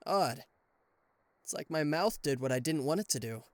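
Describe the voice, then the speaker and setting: A man playing a charismatic, flustered king of hell as a boyfriend in an ASMR roleplay audio drama, with a theatrical, halting delivery. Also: fairly high pitch, expressive, dry recording, lightly acted. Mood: reluctant, flustered. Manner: theatrical, hesitant stammering, exasperated sighs.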